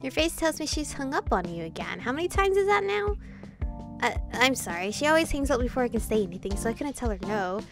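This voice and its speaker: A woman's voice: sits high, very animated, fast talker. A young adult woman streaming a visual novel, reading the text aloud with casual, slangy reactions. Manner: informal slangy asides. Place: indoor room, webcam setup. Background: game music.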